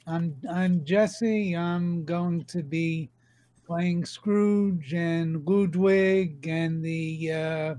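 Hesitant man with a high voice. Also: steady tone, very slow, dark tone. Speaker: amateur voice actor in a cast. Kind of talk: casual livestream chat.

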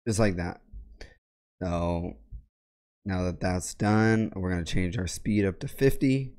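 A young man with a raspy, sick voice giving step-by-step software instructions in a plain, methodical way, clearing his throat now and then, recorded at home on a boom mic. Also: slow. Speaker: tech tutorial creator. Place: home gaming room, indoors. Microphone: boom mic on arm with headset.

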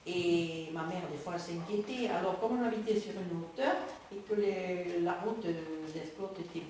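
An older woman telling childhood memories in an unhurried way, recorded in a kitchen. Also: fairly low pitch, darkish tone. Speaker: interviewee. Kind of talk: casual recollection. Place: indoor kitchen. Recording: echoey room from far off, faint hiss.

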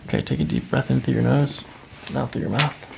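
A man's voice: medium pitch, fast, creaky. A middle-aged man working as a chiropractor, giving a patient short, soothing step-by-step instructions during hands-on treatment. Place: small treatment office, indoors. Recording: faint noise behind voice.

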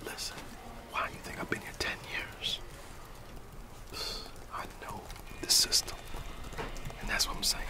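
A middle-aged American man in a whispered ASMR roleplay, confiding like a disgruntled coworker in colloquial speech. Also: recorded from a distance. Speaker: ASMR roleplayer playing a gossiping office employee.

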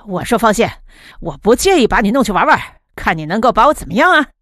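A woman's voice: mid-range voice, very animated, fast, fairly bright tone, no room sound, clean studio sound, pitched below the performer's own voice. A woman voicing an arrogant, menacing rich male student in an audiobook, with a sneering, threatening delivery. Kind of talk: audiobook character dialogue.

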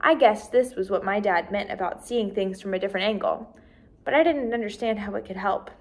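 A woman in her twenties reading a children's book aloud as a teacher, with expressive, clearly paced narration. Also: mid-range voice, brisk. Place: small decorated indoor room.

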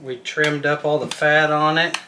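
A man's voice: on the high side, flat delivery, unhurried, background noise. An older man, a grandfather chatting casually as he coaches a child on a cooking vlog. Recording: roomy, echoey home-video sound.

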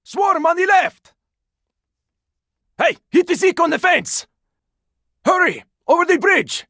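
Gravelly high-pitched zombie apocalypse fighter. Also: male voice, very animated, slow, pushed and bright, no echo, clean studio sound, heavily acted. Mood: urgent, shouting. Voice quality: raspy, gravelly. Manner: terse, urgent, swearing. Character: gruff, weary, sardonic male squad fighter in zombie apocalypse. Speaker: video game voice actor. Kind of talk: video game character combat barks.

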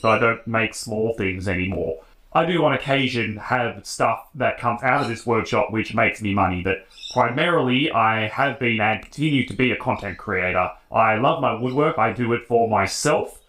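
A man's voice: mid-range voice, a bit bright. A man in his thirties with an Australian accent, talking casually to camera as a hobby woodworking creator in his garage workshop. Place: home garage workshop, indoors. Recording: roomy garage echo.

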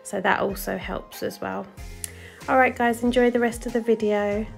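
Chatty woman with a flat delivery. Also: fairly high pitch, normal speed, vocal fry. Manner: chatty, relaxed, frequent ums. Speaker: vlogger. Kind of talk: casual voice-over vlog. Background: faint background music.

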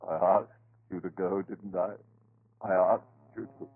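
A man's voice: low voice, little pitch movement, a little slow, husky. An actor playing a desperate, blinded man in 1930s radio horror, speaking in broken, melodramatic phrases. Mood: wounded, tense. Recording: tinny, muffled old 1930s radio recording.

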